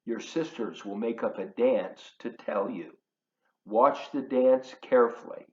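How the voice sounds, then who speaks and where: A man's voice: medium pitch, measured pace, darkish tone. A man reading a picture book aloud to young children as a volunteer, playfully addressing them directly in his living room. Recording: roomy, slightly dull home video sound.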